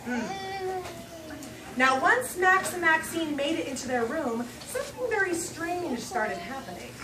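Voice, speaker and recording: A woman telling a children's puppet story live, with dramatic, drawn-out emphasis. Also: high-pitched, sing-song, background noise. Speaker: storyteller leading a puppet show for young children. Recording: echoey room, distant mic, children around.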